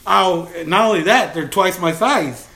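A man with a Texan accent hosting a casual podcast chat, telling stories in relaxed slang. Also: high-pitched, a little slow. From Texas. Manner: laid-back, slangy storytelling. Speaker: podcast host.

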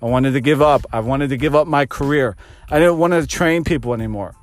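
A man's voice: mid-range voice, a little fast, no room sound. A man of thirty, a fitness coach, hosting his own podcast and giving an earnest motivational pep talk that repeats phrases for emphasis. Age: 30s.

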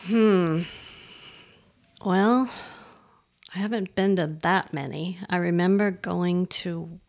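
An older woman with a lowish voice and plenty of gravelly creak. She chats casually as a guest, answering briefly and without hurry. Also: fairly even intonation, takes it slowly, darkish tone. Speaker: podcast guest, host's mother. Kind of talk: casual chat.

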